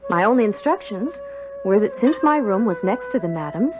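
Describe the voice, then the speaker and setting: A woman's voice: mid-range voice, animated, normal speed, natural voice. An actress playing a timid, curious young country girl in a 1970s radio drama, earnest, hesitant and polite. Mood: wistful recounting. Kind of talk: 1970s radio drama acting, first-person narration and dialogue. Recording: dull radio recording with music.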